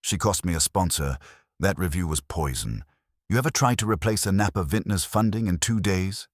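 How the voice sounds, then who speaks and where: A big, resentful male restaurateur, read by a man in an audiobook. His voice is extremely deep and moves around in pitch, with a dry sound. He talks in a gruff, curt way. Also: lively intonation, normal speed, no room sound. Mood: angry. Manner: curt, snapping. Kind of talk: audiobook character dialogue.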